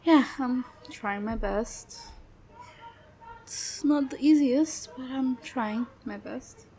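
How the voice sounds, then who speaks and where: A woman playing a young adult best friend who speaks in a halting, subdued way with long pauses, venting about her family. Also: high-pitched, warm tone, slightly rough, full character voice. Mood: weary. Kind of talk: audio roleplay acting.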